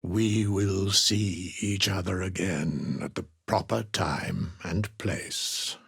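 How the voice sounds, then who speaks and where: An actor voicing an ancient, solemn undead skeleton in a video game, speaking cryptically in archaic, formal phrases with a raspy, whispery voice. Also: male voice, sits low, fairly bright tone, full character voice. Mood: grave, detached. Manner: archaic, formal, deliberate speech. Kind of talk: video game character dialogue.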